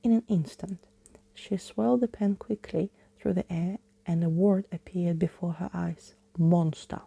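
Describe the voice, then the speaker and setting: A woman reading a story aloud as ASMR, hushed and often slipping into a whisper, close to the mic. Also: on the low side, steady tone, normal speed, creaky, slightly rough, no echo.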